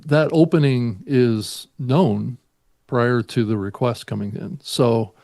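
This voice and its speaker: Mellow, creaky-voiced man explaining things. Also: mid-range voice, takes it slowly, no room sound, studio quality. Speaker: podcast co-host. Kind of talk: casual technical discussion.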